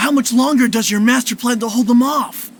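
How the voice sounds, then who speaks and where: A woman's voice: fairly high pitch, a little fast, slight background noise, close to an everyday voice. A woman playing a curious, matter-of-fact guard in an anime-style video game. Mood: inquisitive.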